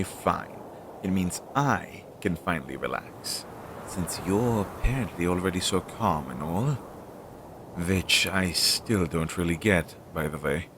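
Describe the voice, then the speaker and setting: A man playing an anxious, talkative demon crow familiar in an audio roleplay, speaking hesitantly with sighs and chatty asides to his master. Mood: weary, relieved. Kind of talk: scripted audio roleplay addressed to the listener. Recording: noisy low-quality home recording.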